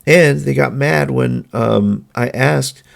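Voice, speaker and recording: Podcast host, male, very gravelly fry, mid pitch, earnest and halting, throat going hoarse. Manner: earnest, measured, halting with fillers. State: hoarse, losing his voice. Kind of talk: solo opinion monologue.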